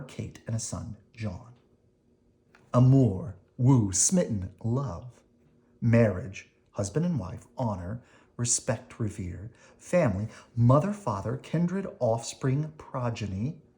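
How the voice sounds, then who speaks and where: Children's read-aloud narrator: unhurried, expressive, mid-pitched, with swooping, lively intonation. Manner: expressive, deliberate reading with dramatic emphasis. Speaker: storybook reader explaining words to children. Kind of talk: reading a children's picture book aloud.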